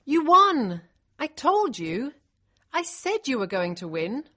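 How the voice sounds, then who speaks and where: A woman narrator puts on an emphatic, affectionate and bossy woman from a storybook. Her voice is high and swings widely in pitch, urgent and gushing. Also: sing-song, moderate pace, fairly bright tone. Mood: excited, proud. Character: excited, bossy, affectionate older female relative. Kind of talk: audiobook character dialogue.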